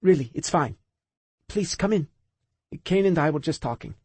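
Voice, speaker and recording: Man voicing a soft-spoken young woman. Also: fairly high pitch, animated, moderate pace, breathy edge, no room sound, pitched above the performer's own voice. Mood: reassuring.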